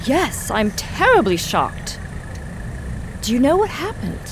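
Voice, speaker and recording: A woman playing a sly, sinister society villainess in a radio drama, with a drawn-out, affected delivery and feigned concern. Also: sits high, wildly swooping pitch, background noise, full character voice. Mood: feigned shock. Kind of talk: theatrical radio drama acting.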